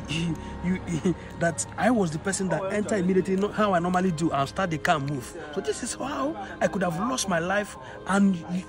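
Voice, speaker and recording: A man with a Nigerian accent playing a generous, wronged customer, speaking emphatically and repeating himself in a skit. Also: high-pitched. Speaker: actor in an online skit. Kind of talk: improvised moral skit acting. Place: outdoors in an open car yard. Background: music, vehicles.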